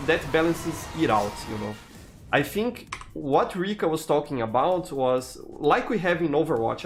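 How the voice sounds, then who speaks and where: A man's voice: on the high side, a little hiss or hum. Brazilian-accented male game streamer. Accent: Brazilian Portuguese. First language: Portuguese. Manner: relaxed, chatty, frequent restarts and "you know". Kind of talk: live gameplay commentary reading chat.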